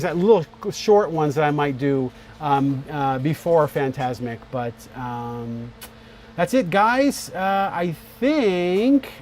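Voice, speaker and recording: A man with a slightly raised pitch chats loosely on a live stream, thanking viewers and drifting between topics. He talks with many fillers and repeated starts. Faint ambient noise hums behind him. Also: darkish tone. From born on a US Navy base in Morocco, raised in many places. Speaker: YouTube creator hosting a live premiere chat. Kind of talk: casual live-stream chat.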